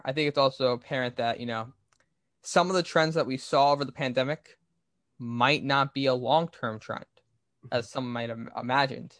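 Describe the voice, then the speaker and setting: A man's voice: medium pitch, dry recording. A man hosting a podcast, giving opinionated, explanatory business commentary in a conversational way. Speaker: podcast host.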